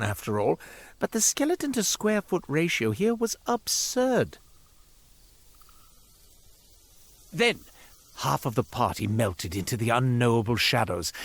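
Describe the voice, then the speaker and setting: A self-important shopkeeper from a fantasy game, with a mid-pitched, very animated voice. He narrates like a stage showman, wry and flamboyant, forever wandering into asides. There is a cowardly slyness under the grand manner. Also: no room sound, exaggerated voice. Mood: dramatic, exasperated. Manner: theatrical, flamboyant, wry and digressive. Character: chatty, self-important male curio merchant, wry and cowardly. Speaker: video game character voice actor. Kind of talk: theatrical character monologue, storytelling.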